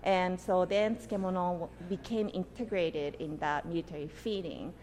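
A middle-aged woman from Japan speaks as an author in an interview. Her voice sits slightly low, and she explains in an animated way, peppering her answers with "you know" and "right?". A faint background noise hangs under the recording. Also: moderate pace. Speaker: author and guest.

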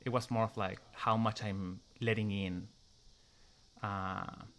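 A man's voice: lowish voice, fairly even intonation, slow pace, fairly bright tone. Chilean guy talking english in an interview, lots of "like", careful about each sound. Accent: Chilean Spanish. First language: Spanish. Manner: hesitant, many "like" fillers, careful pronunciation. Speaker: interviewee, musician. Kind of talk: casual interview answers and a read passage.

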